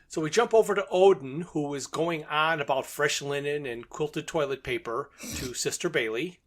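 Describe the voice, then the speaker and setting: A man's voice: on the high side, unhurried. A man co-hosting a podcast, recapping a story in a casual, jokey, conversational way with short sentences. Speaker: podcast co-host.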